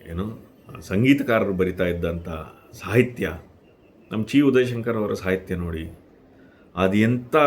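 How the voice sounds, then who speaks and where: Male host, lowish voice. Earnest instructional delivery, stresses key words and pauses deliberately. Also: a little hiss or hum. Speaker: host giving language-speaking tips. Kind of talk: instructional talk to viewers.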